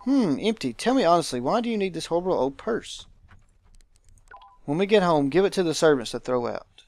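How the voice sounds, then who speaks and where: A man voicing a visual novel's aristocratic guardian, pompous, dramatic and deliberate, with menacing affection. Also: on the high side, big pitch swings, a little mellow, no echo. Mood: disdainful, brooding.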